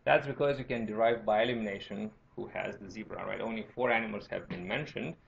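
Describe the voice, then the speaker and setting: Gravelly Romanian-accented man lecturing, dull recording. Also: fairly low pitch, quick pace. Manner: explanatory, often checking with "right?" and "okay?". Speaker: university lecturer. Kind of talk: informal tutorial lecture.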